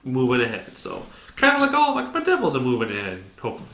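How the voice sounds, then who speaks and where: A young man co-hosting a casual sports podcast, loud and booming, joking as he talks. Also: huge pitch swings, quick pace, vocal fry. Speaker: podcast co-host. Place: open basement. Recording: echoey room, faint noise.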